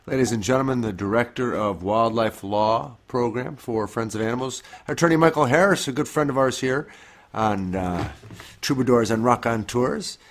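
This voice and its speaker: A man's voice: mid-range voice, moderate pace. A man hosting a podcast interview, speaking with a cold and a slightly nasal voice in a friendly, joking way. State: has a cold. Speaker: podcast host. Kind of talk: interviewing a guest.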